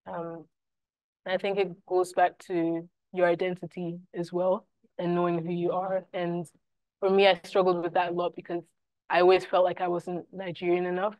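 A woman's voice: lowish voice, dry recording, muffled recording. A young woman with a Scottish accent and German as her first language, moderating a university panel in a reflective way. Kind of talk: panel discussion. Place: university classroom, indoors.

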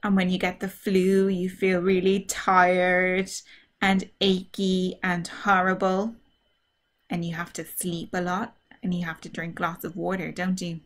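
A woman drama teacher reading a story aloud to children, asking them simple questions in an animated, child-directed way. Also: fairly low pitch, a little slow. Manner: animated, simple child-directed talk, acting out feelings and sounds.

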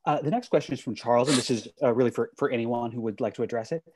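A man's voice: mid-range voice, racing, noisy background. A man in his thirties moderating a Q&A, reading out audience questions over a video call with earbuds. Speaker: film programmer and moderator. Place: home room. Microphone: earbud headset on a video call.

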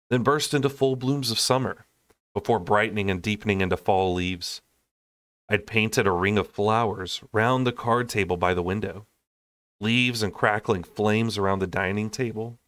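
A young man reading a novel aloud in expressive storytelling narration. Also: on the low side, no room sound. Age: young adult. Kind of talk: expressive audiobook-style narration.

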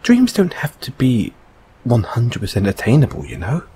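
A man playing a gentle, reassuring boyfriend in an intimate comfort audio roleplay, speaking softly and hesitantly. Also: mid-range voice, wildly swooping pitch, slight background noise. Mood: earnest, reassuring. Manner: soft, hesitant, soothing, unhurried.

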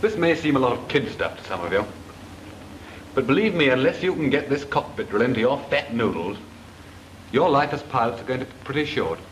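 A man's voice: medium pitch, very animated, a little fast, a little hiss or hum, natural voice, low-quality recording. An actor playing a brusque flight instructor officer who scolds his trainees. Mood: stern. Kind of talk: 1940s British film acting.

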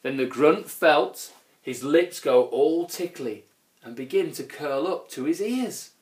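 A man's voice: on the high side, lively intonation, takes it slowly. A man reading a children's picture book expressively, with dramatic emphasis on key words. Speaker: children's story reader. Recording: echoey room.

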